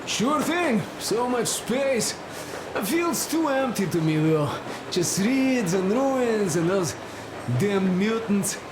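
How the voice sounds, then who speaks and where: An actor playing a plain-spoken, loyal, wary wanderer in a game, with a rambling, halting, colloquial way of talking. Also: male voice, sits high, animated. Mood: opinionated, chatty. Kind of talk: game cutscene dialogue. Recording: noisy, echoey, vehicle-like ambience behind.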